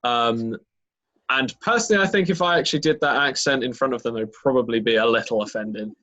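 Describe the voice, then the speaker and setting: A man's voice: medium pitch, fairly quick, pushed and bright, muffled recording. Man rambling about running games. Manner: rambling, many fillers and restarts. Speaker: game master. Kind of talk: casual podcast chat.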